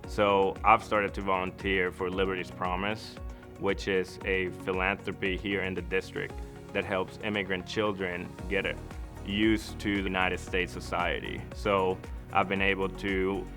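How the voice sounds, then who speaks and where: A man's voice: deep voice, flat delivery, unhurried. A man from Costa Rica speaking earnestly and reflectively in an interview testimonial, as an architect. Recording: music behind the voice. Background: background music.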